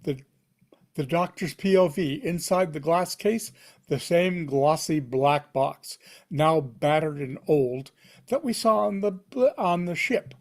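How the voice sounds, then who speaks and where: A man's voice: a little high, a little mellow. A man reading screenplay stage directions aloud at a table read, in punchy, dramatic fragments.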